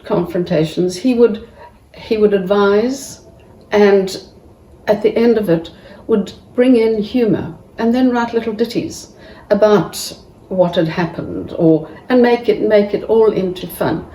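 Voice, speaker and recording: A woman speaks slowly and haltingly in a mellow voice, restarting her sentences often. She sits back from the mic in an echoey room. A faint hum of ambience lies underneath. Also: medium pitch, far from the mic. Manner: halting, with many restarts and pauses. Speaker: family member giving a tribute. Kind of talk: tribute speech.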